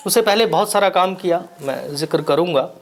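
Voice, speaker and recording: A man from Bihar whose first language is Maithili, answering interview questions as an actor in a measured, reflective way with frequent pauses. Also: sits high. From Bihar, India.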